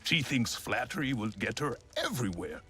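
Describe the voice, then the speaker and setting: An actor playing a grumpy, disdainful alien cook in a video game, with a sardonic, pompous manner. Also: male voice, mid-range voice, sing-song, normal speed, sharp tone, faint music behind. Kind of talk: dramatic video game acting.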